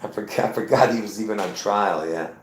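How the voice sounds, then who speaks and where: A man's voice: lowish voice, a little fast, a little hiss or hum. Rambling fifty-something man, roomy echo. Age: 50s. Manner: repetitive, insistent, addresses viewers as folks. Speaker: livestream host. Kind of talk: rambling live-stream monologue.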